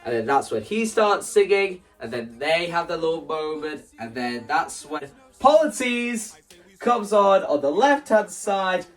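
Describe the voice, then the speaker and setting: A young man talking casually and explaining his creative ideas in an animated, theatrical way. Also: a little high, unhurried, distant mic, soft background music. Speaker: video creator presenting a stage play concept.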